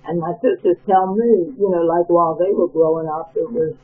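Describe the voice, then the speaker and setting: A man's voice: a little high, moderate pace. An older man reminiscing in a rambling, casual way, interviewed over the phone. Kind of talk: casual oral-history chat by phone. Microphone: telephone. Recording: tinny phone line.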